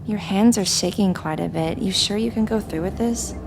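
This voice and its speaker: A woman's voice: mid-range voice, moderate pace, creaky, noisy background, close to an everyday voice. An actress playing a cool, guarded female criminal in an audio drama. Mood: doubtful, needling.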